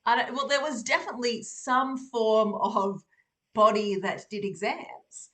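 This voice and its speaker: A woman with an Australian accent hosting a casual live stream, chatty and quick to laugh, with lots of fillers. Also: fairly high pitch, fairly bright tone. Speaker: host.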